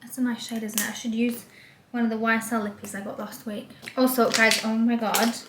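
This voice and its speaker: A woman's voice: on the high side, little pitch movement, brisk, fairly bright tone, reverberant, a little hiss or hum. A young woman from Scotland with an English accent, talking through a casual vlog with lots of likes and ums. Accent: English with a light Scottish trace. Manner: bubbly, rambling, with lots of "like" and "um". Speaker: vlogger. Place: small room indoors. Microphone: camera facing her from a short distance.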